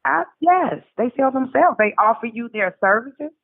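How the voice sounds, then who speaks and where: A woman's voice: sits high, expressive, normal speed, creaky, no room sound. A middle-aged woman with an American accent telling her story casually and candidly, with emphatic, colloquial phrasing, over a phone call. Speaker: interview guest, author. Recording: narrow, tinny phone line.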